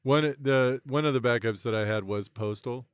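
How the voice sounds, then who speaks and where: A man co-hosting a podcast in casual chat, laid-back and quick to agree. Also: medium pitch, clear, resonant tone, no room sound, studio quality. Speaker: podcast co-host.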